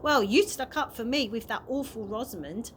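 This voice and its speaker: A woman's voice: fairly high pitch, normal speed, slight background noise, natural voice. A woman voicing a curious, earnest young girl in a storybook, asking things eagerly and politely. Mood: excited.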